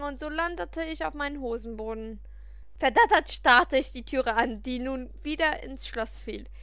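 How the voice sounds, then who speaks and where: Bright high-voiced woman reading a story. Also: no echo. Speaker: audiobook reader. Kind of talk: expressive scripted narration of a first-person story.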